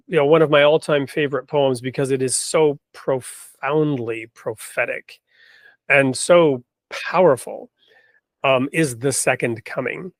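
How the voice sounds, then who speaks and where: A man hosting an interview podcast, talking in a thoughtful conversational way, often checking in with "right?" and pausing on fillers. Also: medium pitch, no room sound. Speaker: podcast host.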